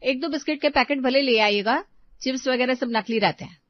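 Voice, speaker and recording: A woman's voice: sits high, a little fast, dry recording. Woman narrating a storybook. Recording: dull dry voice-over.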